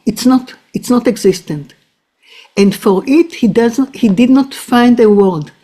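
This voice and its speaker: Hesitant woman with a mellow voice. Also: fairly high pitch, unhurried, husky. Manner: hesitant, many restarts and fillers. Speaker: psychoanalyst presenting a paper. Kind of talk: halting academic talk.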